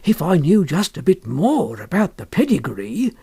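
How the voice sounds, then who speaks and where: A man's voice: fairly high pitch, huge pitch swings, normal speed, creaky, mellow, slightly rough, exaggerated voice. A man voicing an obsequious, wily antique dealer in an audiobook, full of wheedling sales patter and colloquial grammar. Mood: wheedling, deferential. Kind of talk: audiobook character acting.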